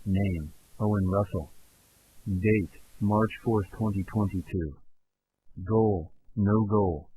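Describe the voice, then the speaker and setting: A man acting as a male researcher with a fairly low voice records test logs for a fictional audio drama. His delivery is formal and report-like, in short clipped entries. A clear hiss and hum runs under the recording. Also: slow pace, rough recording. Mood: formal, matter-of-fact. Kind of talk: scripted audio log, found-recording fiction.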